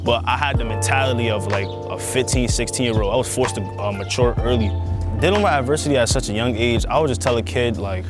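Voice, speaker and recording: Young male athlete, New York accent, brisk easygoing delivery, loud music bed underneath. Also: mid-range voice. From Queens, New York. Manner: laid-back, slangy street talk. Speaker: high school football player. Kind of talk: documentary interview.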